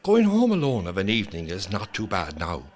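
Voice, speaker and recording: A man's voice: low-pitched, huge pitch swings, a little mellow. An actor playing a lonely, nostalgic old Irish man in a reflective, lyrical stage monologue. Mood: resigned, reflective. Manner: wistful, lyrical, measured with lingering pauses. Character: lonely, nostalgic old widower, quietly ruminating. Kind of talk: dramatic monologue, scripted first-person storytelling.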